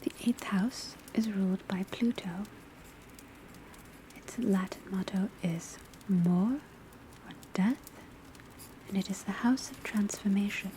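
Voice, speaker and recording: A woman acts out a calm witch school divination professor in an ASMR classroom roleplay. The voice is soft, mellow and mostly whispered, giving the lesson slowly and deliberately. A faint patter of rain sits behind it. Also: medium pitch, a little hiss or hum, lightly acted, rough recording. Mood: calm, instructive. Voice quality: soft, breathy, largely whispered. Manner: measured, deliberate, instructive. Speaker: teacher lecturing a class. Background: faint patter of rain on rooftop.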